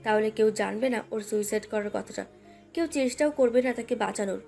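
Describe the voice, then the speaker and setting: A woman's voice: fairly high pitch, brisk, vocal fry, darkish tone. A woman reading a scripted romantic story as its narrator. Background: background music.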